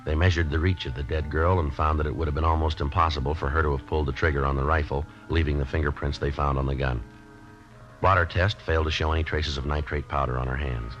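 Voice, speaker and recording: An American actor playing a terse, no-nonsense police detective in a 1950s radio drama, deadpan and businesslike. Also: male voice, extremely low pitch, fast talker. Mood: flat, matter-of-fact. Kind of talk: 1950s radio drama acting, clipped procedural narration. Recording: muffled vintage radio recording, music cues.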